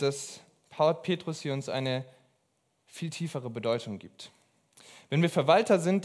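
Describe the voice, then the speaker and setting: Earnest young preacher man. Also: a little high. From abroad. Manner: earnest, emphatic, pauses often between phrases. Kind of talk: sermon.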